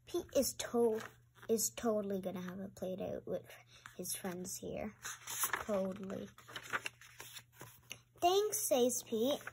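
A woman reading a picture book aloud and adding chatty, exclamatory comments in a halting way. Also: a little high, darkish tone. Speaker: read-aloud host. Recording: noisy amateur home recording.

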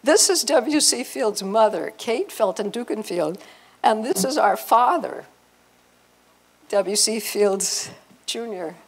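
An older woman presenting an informal family-history slide talk, halting and often restarting her phrases. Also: mid-range voice, expressive, husky. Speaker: presenter, speaker at a convention. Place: hotel conference room, before a seated audience.

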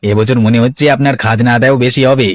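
A man plays a scheming steward in a cartoon. He fawns and persuades in a middle-pitched voice, greedy and insinuating beneath the deference. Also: steady tone, fast, dry recording, studio quality, natural voice. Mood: fawning, persuasive. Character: scheming, fawning male estate steward, greedy. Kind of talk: Bangla cartoon voice acting.

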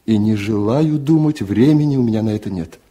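A man's voice: lowish voice, brisk, mellow, breathy edge. A man voicing a proud, busy husband in a 1970s radio literary reading, cutting and dismissive. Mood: irritated.

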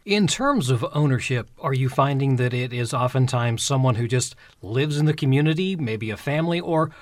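Man asking measured interview questions. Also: medium pitch, lively intonation, dry recording. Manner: measured, plainly phrased questions. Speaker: radio show host.

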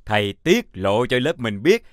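A man voicing a kindly older male teacher in a children's cartoon, deliberate and encouraging. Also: mid-range voice, very animated, clear, resonant tone, no echo, clean studio sound, lightly acted. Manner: deliberate, encouraging, speaks to pupils formally. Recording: music behind the voice.

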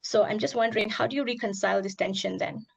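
A hoarse woman on a video call, asking an academic presenter several careful questions with many pauses. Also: medium pitch, steady tone. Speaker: audience member asking questions.

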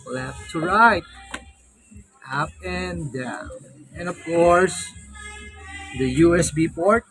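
A man's voice: fairly high pitch, slow, a bit bright, faint music behind, poor audio. A man in his thirties with a Filipino accent, giving a casual, playful product review for a vlog into a phone camera. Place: open-air beach hut at night, later inside a tent.

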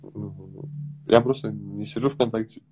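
A man in his twenties with a slight Dagestani accent, chatting casually with callers on a phone livestream from a sofa at home, relaxed and teasing. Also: on the low side, measured pace, soft background music, rough recording. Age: 20s. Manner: relaxed, teasing, mumbles at times. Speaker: livestream host. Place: small room at home, on a sofa, indoors. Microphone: phone front camera held close.

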